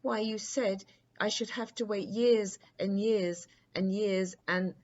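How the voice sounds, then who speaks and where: An eager, determined young prince from a bedtime story, played by a woman. The boy's voice is lifted high and exclaims and questions keenly. The recording is a little dull. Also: fairly even intonation, takes it slowly, darkish tone, close to an everyday voice. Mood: indignant, impatient.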